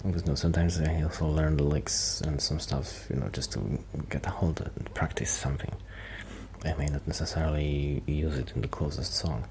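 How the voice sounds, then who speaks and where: A man doing a soft-spoken, half-whispered ASMR roleplay as a shy, quiet musician friend, rambling hesitantly and interrupting himself. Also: a bass voice, steady tone, moderate pace, gravelly, a little hiss or hum, low-quality recording. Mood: thoughtful, rambling.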